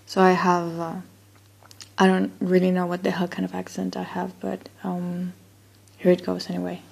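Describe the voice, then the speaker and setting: A woman's voice: on the low side, monotone, moderate pace, gravelly, a little mellow, slightly rough. A woman from Chile, a native Spanish speaker with a Chilean accent, reads a word list casually and a bit unsure, trailing off with fillers. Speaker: accent-tag vlogger.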